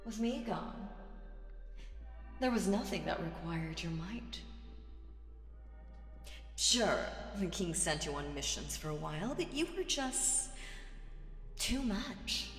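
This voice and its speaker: A woman voicing a proud, mocking demon queen in an ASMR audio roleplay, theatrical and condescending with dramatic pauses. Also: lowish voice, animated, soft background music. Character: proud, mocking female demon queen, vengeful, regal villain. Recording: roomy with long reverb.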